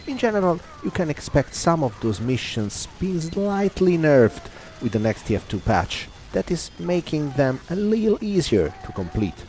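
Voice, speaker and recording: A man's voice: fairly high pitch, very animated, moderate pace, a little mellow. A man giving a formal, wordy voice-over commentary over his own gameplay video. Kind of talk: scripted voice-over narration.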